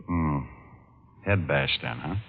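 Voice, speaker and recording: An actor playing a wry, wisecracking hard-boiled private detective in a 1940s radio noir, dry and deadpan. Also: male voice, a bass voice, slow, gravelly, full character voice. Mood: businesslike. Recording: muffled old radio recording, music behind.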